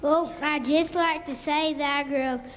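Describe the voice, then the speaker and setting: High female voice acting as sentimental male actor. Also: steady tone, moderate pace, a bit bright. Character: sentimental male character actor proud of his hometown. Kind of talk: scripted school radio show acting. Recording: echoey school hall.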